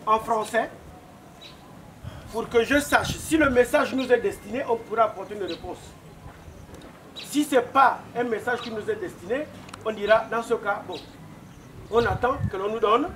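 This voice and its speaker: A man with a Gabonese accent, speaking for a visiting wedding delegation with polite, measured formality in a courtyard. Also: extremely high pitch, fairly bright tone, a little hiss or hum. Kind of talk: formal ceremonial speech. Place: outdoor courtyard.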